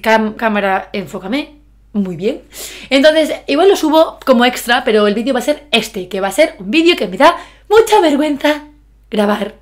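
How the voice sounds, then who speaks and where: A woman in her twenties with a Galician Spanish accent, chatting excitedly and mocking herself in a bedroom vlog. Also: a little high, moderate pace. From Galicia, Spain. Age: 20s. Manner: excitable, self-mocking, bursts of shouting and laughter. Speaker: YouTuber. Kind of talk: reaction vlog, casual chat. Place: bedroom, indoors. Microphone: webcam with earphone mic.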